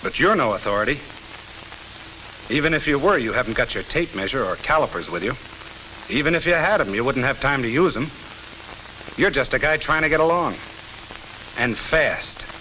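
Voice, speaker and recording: Faint hiss sits under a man's sharp, forceful voice. He narrates like an old military training film, blunt and folksy, speaking straight at the listener in punchy phrases. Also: medium pitch, lively intonation, normal speed, pauses often, poor audio. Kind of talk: mid-century instructional film narration, folksy and slangy.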